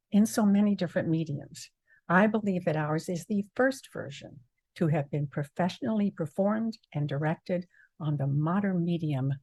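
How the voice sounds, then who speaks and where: An older woman, the director, giving a measured, formal spoken introduction to a radio play. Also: deep voice, a little mellow, low-quality recording.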